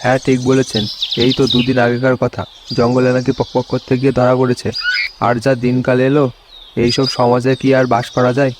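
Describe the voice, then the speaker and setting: A man's voice: mid-range voice, completely flat delivery, fairly quick, noisy background, close to an everyday voice. A man voicing disapproving, gossiping village men who grumble and moralize in a comedy cartoon.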